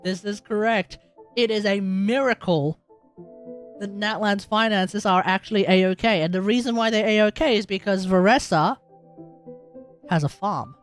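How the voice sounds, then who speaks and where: A woman's voice: mid-range voice, steady tone, normal speed. Slangy Australian woman streaming, music behind. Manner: slangy, emphatic, repeats phrases for effect. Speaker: game livestreamer. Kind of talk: casual live reaction commentary to a game.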